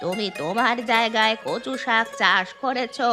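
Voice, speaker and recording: Woman as a high-pitched, grudging cartoon village grandmother. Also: moderate pace, lightly acted. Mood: nosy, probing. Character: stingy, nosy village grandmother selling taro greens. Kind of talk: Bengali moral-story cartoon dialogue. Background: background music.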